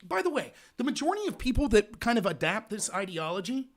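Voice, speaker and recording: A man hosting a live political show, ranting in a loud, indignant voice. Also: high voice, very animated, fast. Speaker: livestream show host. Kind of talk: heated political commentary.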